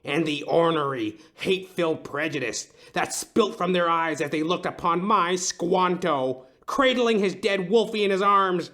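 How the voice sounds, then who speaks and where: An actor playing a melodramatic, lovesick older timber tycoon with a pompous, theatrical way of talking in a comedic detective audio play. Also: male voice, sits high, a little slow, few pauses, sharp tone. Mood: indignant. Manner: florid, theatrical, pompous storytelling.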